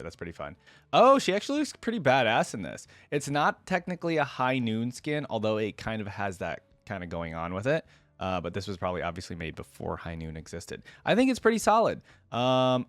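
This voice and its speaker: Male reaction host, low voice, casual and informal, talks straight to viewers, close dry sound. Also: a bit bright, no room sound. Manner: informal, filler-laden, addresses viewers directly. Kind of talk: casual commentary, reacting to game art.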